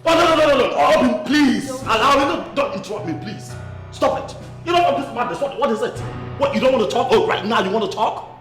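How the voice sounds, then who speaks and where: A man's voice: a bass voice, a little slow, pauses often, fairly bright tone, heavily acted. An actor playing an earnest husband in a film drama, soothing and pleading, repeating his words insistently. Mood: agitated. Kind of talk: naturalistic film drama acting. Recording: roomy echo, faint background music.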